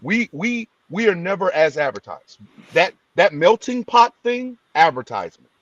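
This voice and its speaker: A man's voice: high-pitched, big pitch swings, normal speed, fairly bright tone, no room sound. A man co-hosting a podcast, making his point emphatically in a building cadence. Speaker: podcast co-host. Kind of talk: casual podcast discussion. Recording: dry, slightly dull sound.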